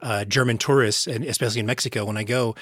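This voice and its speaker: A man's voice: mid-range voice, steady tone, fast, a bit bright, slightly rough, no echo, clean studio sound. A man from the United States, a podcast host chatting casually in an interview.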